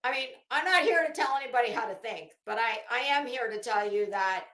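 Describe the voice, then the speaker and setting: Middle-aged female commentator, high-pitched, sarcastic and dramatic, casual delivery, recorded in a roomy space. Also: animated, fairly bright tone. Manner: sassy, sarcastic, dramatic emphasis with drawn-out words. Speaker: host of an online commentary show. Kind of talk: casual, opinionated commentary to camera. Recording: roomy, echoing sound.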